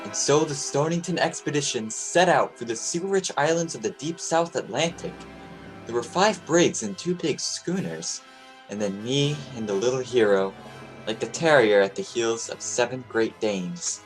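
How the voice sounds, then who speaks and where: An actor playing an earnest, confident young sea captain in an old-time radio play. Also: male voice, mid-range voice, normal speed, faint music behind, lightly acted, low-quality recording. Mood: solemn narration. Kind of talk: old-time radio play acting, narrating his log.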